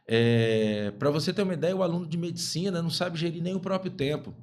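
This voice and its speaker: A man's voice: a little high, a little fast, darkish tone. A man in his fifties, a guest physician on a podcast, speaking emphatically and repeating key phrases. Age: 50s. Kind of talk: podcast interview talk.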